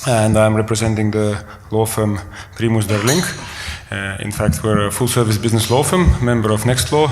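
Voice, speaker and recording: A man's voice: fairly low pitch, fairly even intonation, moderate pace. A man with a Lithuanian accent hesitantly introducing himself as a panelist. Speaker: lawyer, panelist. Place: conference room. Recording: reverberant hall, faint noise.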